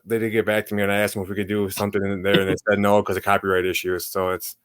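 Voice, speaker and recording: A man's voice: on the low side, monotone, rapid-fire, clear, resonant tone. A man in his forties hosting a podcast, asking questions in a casual, chatty interview style. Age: 40s. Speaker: podcast host.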